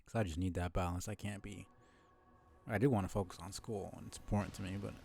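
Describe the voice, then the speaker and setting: A young man giving casual, low-key livestream commentary on a game, rambling without hurry over game music. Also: steady tone, fast, gravelly, a little mellow, husky. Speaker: game streamer.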